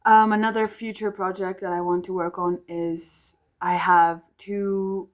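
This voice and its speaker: A woman's voice: fairly low pitch, flat delivery, unhurried. A young woman from South Africa whose first language is Afrikaans, chatting casually to camera at home, rambling with many ums and restarts. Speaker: vlogger. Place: home room with music downstairs.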